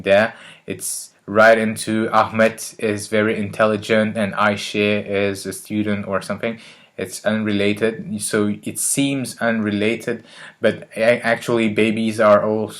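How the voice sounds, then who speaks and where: A man talking casually to camera about learning languages, pausing often with fillers and restarting his sentences. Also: fairly low pitch, fairly bright tone. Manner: hesitant, many fillers and restarts.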